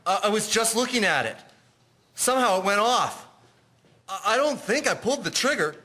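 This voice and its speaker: Guy acting as helpful young airman in a history radio play, bright n very animated. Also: sits high, moderate pace, full character voice. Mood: anxious. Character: helpful young airman clerk, anxious after accidental shot.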